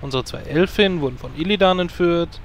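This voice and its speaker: An actor playing a guild leader who dismissively turns down a recruit in a matter-of-fact tone. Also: male voice, fairly high pitch, a little fast, slight background noise, lightly acted. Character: blunt male guild leader judging recruits.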